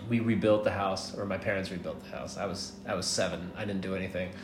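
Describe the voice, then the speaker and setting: A man's voice: deep voice, fairly even intonation, fast. Laid-back American man in his thirties. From United States, born in Miami, raised in Atlanta. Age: 30s. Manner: laid-back, rambling, laughs often, swears casually. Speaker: guest. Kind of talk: casual storytelling interview. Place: hostel. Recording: roomy echo with clear background noise.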